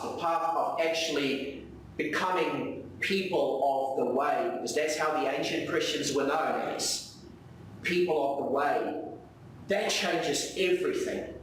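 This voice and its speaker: A South African man, native in Afrikaans, delivers an earnest sermon in a fairly high voice. He repeats phrases and poses questions to his listeners. The sound is heavily reverberant and picked up from some distance, with faint noise. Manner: earnest, rhetorical repetition and questions. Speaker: preacher. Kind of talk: sermon with reflective readings. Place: church hall, live service. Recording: very echoey room, distant mic, faint noise.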